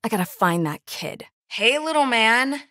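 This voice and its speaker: A stern young female night guard in a horror game. Her voice is high and swings widely in pitch, irritated and exasperated, captured very dry and close. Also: animated, a little slow, bright, forceful tone, no echo. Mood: threatening, then condescending. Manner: stern, exasperated, sing-song when coaxing. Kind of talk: video game voice lines.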